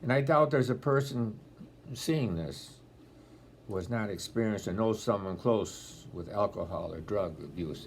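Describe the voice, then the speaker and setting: A man in his eighties with a deep voice, speaking as a deacon in a homily-style talk, telling stories with gentle dry humor, filmed on a laptop webcam at home. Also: a little hiss or hum. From Rochester, New York area. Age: 80s. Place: home room, indoors. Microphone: laptop webcam at close range.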